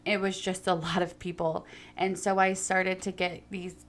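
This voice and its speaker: A woman's voice: lowish voice, little pitch movement, moderate pace. A young adult woman speaking candidly about her own life, earnest and emphatic, stretching out her stressed words. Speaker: personal storyteller. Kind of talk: candid personal talk.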